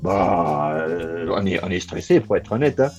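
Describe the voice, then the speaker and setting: A man from Ireland, an English speaker talking as a podcast guest in relaxed, chatty French. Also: sits low, a little slow, vocal fry, faint music behind. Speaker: guest, automotive engineer. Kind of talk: podcast interview.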